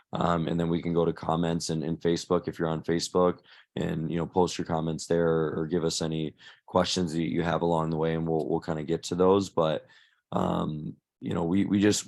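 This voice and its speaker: Male coach host, very low steady voice, loose rambling intro. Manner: rambling, many restarts and fillers. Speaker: fitness coach hosting a workshop. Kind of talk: casual live introduction. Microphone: video call.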